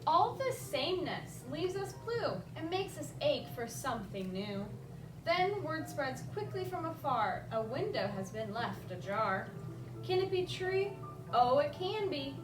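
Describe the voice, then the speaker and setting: A woman hosting a children's story time, reading a picture book aloud expressively and chatting casually to the young listeners as an animated storyteller. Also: sits high, measured pace. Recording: roomy, distant microphone, music behind.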